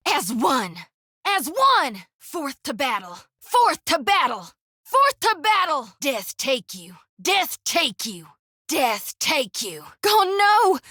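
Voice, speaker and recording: A woman voicing a folksy, devout young priestess in a video game, with an earnest, expressive Southern US drawl. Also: high-pitched, no room sound, studio quality, full character voice. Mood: battle-ready, then distraught. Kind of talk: video game character voice lines.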